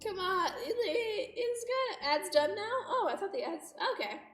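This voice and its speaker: A woman's voice: very high-pitched, animated, measured pace, fairly bright tone. A woman livestreaming a visual novel, chatting and reacting with playful, exaggerated drama. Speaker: game streamer. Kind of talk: livestream chat and read-aloud of a visual novel. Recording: roomy echo, distant mic, music behind.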